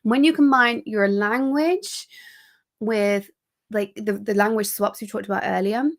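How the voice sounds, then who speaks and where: A woman coaching a live online class, her mid-pitched voice carrying a gravelly fry. She talks in an energetic, chatty way, full of quick asides and ums. The sound comes through a computer mic on a video call. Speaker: executive coach. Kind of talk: live online training talk.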